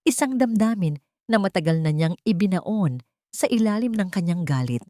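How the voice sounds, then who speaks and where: A woman's voice: medium pitch, expressive, normal speed, mellow, no echo, studio quality. A woman narrating a scripted story in a dramatic storytelling style.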